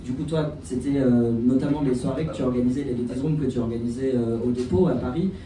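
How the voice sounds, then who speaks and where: A man's voice: mid-range voice, quick pace, mellow, a little hiss or hum. A man moderating a panel, asking long rambling questions with fillers. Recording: echoey room, distant mic.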